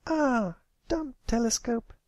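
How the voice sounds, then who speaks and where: Squeaky little pony girl, voiced by a man, impatient and excitable, pushed far up high, cartoon storytelling. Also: slow pace, no room sound, studio quality. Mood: frustrated. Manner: squeaky, exclamatory.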